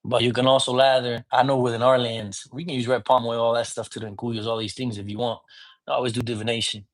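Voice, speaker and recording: A man's voice: medium pitch, flat delivery, fast. Casual man explaining, checking in often. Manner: informal, often checks in with "you get me?"; mixes in Spanish words. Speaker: priest teaching divination. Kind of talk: casual teaching talk.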